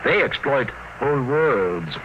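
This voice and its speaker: A man's voice: mid-range voice, big pitch swings, slow. An actor playing a chatty, officious male employment official who gushes with admiration in a 1950s radio drama. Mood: admiring. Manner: effusive, repeats words for emphasis. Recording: muffled, noisy old radio recording.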